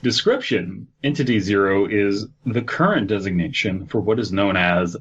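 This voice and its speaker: A man's voice: lowish voice, normal speed, a bit bright, muffled recording. A man hosting a podcast, reading aloud and bantering in a joking, sardonic way. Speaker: podcast host. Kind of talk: casual banter, reading a horror wiki aloud.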